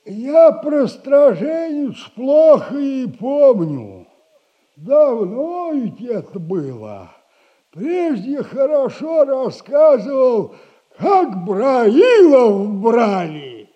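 An actor playing a sly, sardonic old retired soldier who lends money, in a 1960s radio play. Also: male voice, very high-pitched, very animated, lo-fi sound, heavily acted. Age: older. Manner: slow, teasing, sardonic advice.

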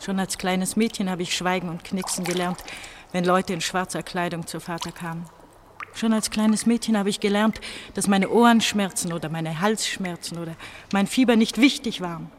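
Actress as anguished teenage runaway, some background noise. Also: female voice, lowish voice, steady tone, natural voice. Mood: brooding. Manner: brooding, halting monologue with repeated phrases. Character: anguished eighteen-year-old pastor's daughter on the run. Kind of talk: German radio drama acting.

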